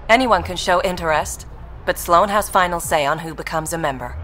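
An actress playing a firm, matter-of-fact, guarded recruiter for an outlaw gang in a video game. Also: female voice, fairly low pitch, brisk, fairly bright tone, close to an everyday voice. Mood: businesslike. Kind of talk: scripted game dialogue. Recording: noisy industrial ambience behind.

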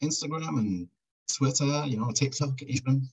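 A man's voice: medium pitch, normal speed, slightly rough, rough recording. A middle-aged man, a documentary maker, talking thoughtfully as a guest over a video call. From Britain. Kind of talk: casual interview chat.

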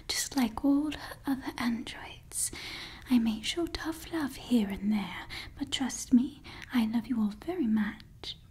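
A woman whispering as a doting evil scientist. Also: high-pitched. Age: young adult. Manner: soft close whispering, sweetly possessive. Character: doting yet menacing female evil scientist. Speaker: ASMR creator. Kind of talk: ASMR roleplay. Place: indoors. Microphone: close studio mic.

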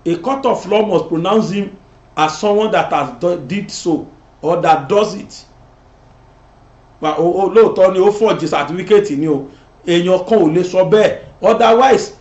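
A middle-aged Nigerian man hosting a political commentary show, talking animatedly and gesturing as he sits in a studio. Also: sits high. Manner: animated, switching between Yoruba and English, gesturing. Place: indoor studio set. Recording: roomy, dull lo-fi sound.

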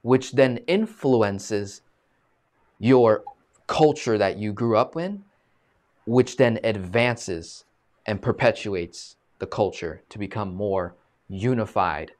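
A man in his thirties hosting a live video-call interview, animated and full of fillers, speaking from a small room. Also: lowish voice, takes it slowly. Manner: animated, gestures a lot, many fillers. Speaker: host. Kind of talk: casual live-stream interview. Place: small room, plain green wall. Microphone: video call webcam.

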